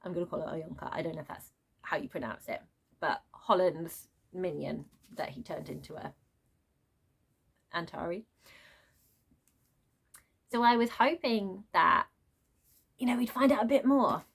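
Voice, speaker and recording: A woman giving an unscripted book review as a rant, with an animated, sarcastic way of talking. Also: lowish voice, unhurried, vocal fry, pauses often, slightly rough. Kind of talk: unscripted book rant with read-aloud excerpts.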